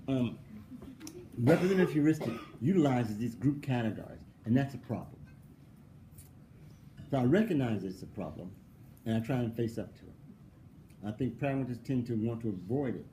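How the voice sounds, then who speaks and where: Animated man, mocking rhetorical questions. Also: mid-range voice, slight background noise. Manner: emphatic, repeats points for stress. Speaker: philosophy professor. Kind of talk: conversational interview answer.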